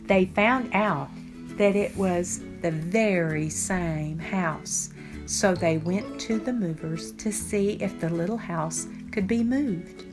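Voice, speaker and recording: An older woman reading a children's picture book aloud, with deliberate, expressive phrasing for young listeners. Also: on the low side. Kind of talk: read-aloud storytime narration. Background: background music.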